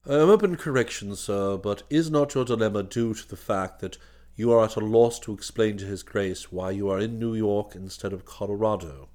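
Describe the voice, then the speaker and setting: A man doing a calm, formal valet. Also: lowish voice, normal speed, voice pushed down, close to an everyday voice. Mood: composed. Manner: formal, unflappable, says sir. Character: deferential, all-knowing male valet.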